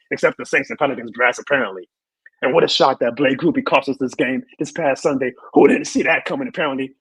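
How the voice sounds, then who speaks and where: A hoarse man who has lost his voice, hosting a live sports show and ranting with emphatic repeated lines. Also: mid-range voice, a bit bright, no echo, rough recording. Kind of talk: live sports rant and chat reading.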